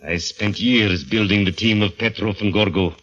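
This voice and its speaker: Male actor as cold bullying strongman: low, forceful, stern and menacing, vintage radio play. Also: fairly even intonation, normal speed, natural voice. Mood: angry, scornful. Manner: stern, menacing, formal clipped phrasing. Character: huge domineering cruel vaudeville acrobat, cold bully. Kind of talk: old-time radio drama acting. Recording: slightly muffled old radio recording.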